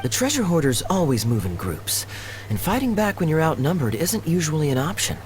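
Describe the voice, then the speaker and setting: A wry, expressive game captain. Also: male voice, fairly high pitch, brisk, slight background noise. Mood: tactful. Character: composed, tactful, wryly amused male cavalry captain. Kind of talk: video game voice acting. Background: background music.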